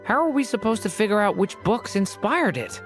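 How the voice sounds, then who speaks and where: An actress playing an earnest, thoughtful young traveler in a video game, speaking in a measured way. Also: female voice, medium pitch, sing-song, faint music behind, close to an everyday voice. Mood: uncertain.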